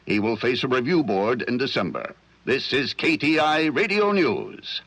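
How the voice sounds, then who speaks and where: A man's voice: mid-range voice, lively intonation, a little slow, a bit bright. An American man announcing news and adverts in polished, emphatic 1940s radio style. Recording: reverberant old-time radio sound.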